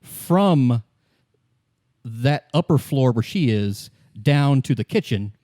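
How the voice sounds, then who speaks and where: A man running a tabletop roleplay as game master, narrating casually and haltingly as he improvises. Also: medium pitch, animated, dark tone. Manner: conversational, halting, repeats words as improvising.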